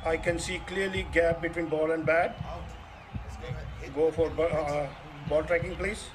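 A man's voice: a little high, steady tone, unhurried, heavy background noise. A man, an umpire on the review channel, giving clipped procedural instructions during a review. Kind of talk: umpire review call over a stadium feed.

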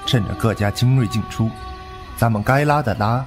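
A man playing a cold, proud young sect lord in a wuxia audio drama, measured and disdainful. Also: medium pitch, close to an everyday voice. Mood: cold, commanding. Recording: loud music under the voice.

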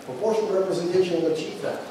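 A man's voice: high-pitched, very fast, warm tone. A middle-aged man, a political candidate, giving an earnest closing statement at a debate into a handheld mic in a large banquet hall. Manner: earnest, urging, repeats phrases. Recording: echoey hall from far off, background noise.

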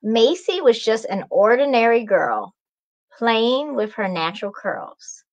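Slow-paced, higher-voiced woman reading aloud. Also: sing-song. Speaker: children's author, guest reader. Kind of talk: storybook read-aloud. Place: small indoor room, video call.

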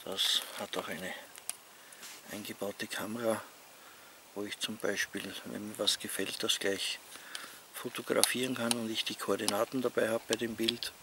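Austrian male vlogger, fifties, low creaky voice with a bright edge, casual talk outdoors over faint ambient noise. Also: level tone, normal speed. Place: outdoors among leafy trees.

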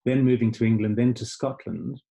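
A middle-aged man from Zimbabwe, a life coach guest on a video call, speaking thoughtfully and haltingly. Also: mid-range voice, steady tone, creaky, mellow. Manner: halting, many fillers and restarts, thoughtful. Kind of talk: casual video call conversation.

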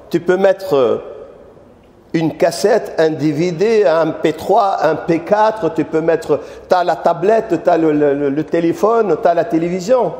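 An older man gives an animated mosque lecture into a handheld microphone, turning often to question his listeners. His voice sits high yet mellow and soft-edged. Long reverb from the hall trails his words. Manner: animated, addresses audience directly with questions. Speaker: preacher. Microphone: handheld mic.

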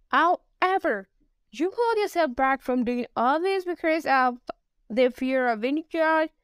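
A Nigerian woman with a Nigerian accent, a business English coach talking alone on her podcast, spelling out words slowly. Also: high voice, clear, resonant tone, no room sound. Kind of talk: solo podcast monologue.

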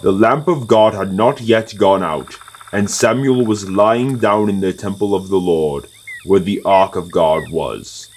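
Slow, very deep-voiced male narrator. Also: rough recording. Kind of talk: scripted narration of scripture.

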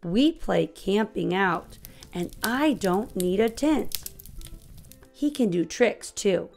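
A woman's voice: medium pitch, slow, faint music behind. An American woman in her thirties hosting a children's read-aloud, telling a storybook expressively to young kids. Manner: expressive, clearly paced storytelling for small children.

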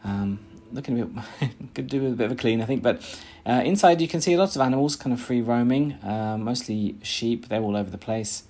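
A man's voice: medium pitch, fairly quick, few pauses, darkish tone. A British man in his thirties vlogging casually from a small room, rambling with lots of fillers and restarts. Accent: British English. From Britain. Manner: chatty, rambling, many "uh"s and restarts. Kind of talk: casual vlog chat and voice-over narration. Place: small plain room indoors.

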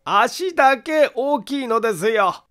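A man's voice: very high voice, expressive, sharp tone, pitched above the performer's own voice, exaggerated voice. A man voicing an odd, amused, tactless eccentric in an audiobook mystery reading. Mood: amused, musing. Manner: chuckling to himself, drawn-out storytelling.

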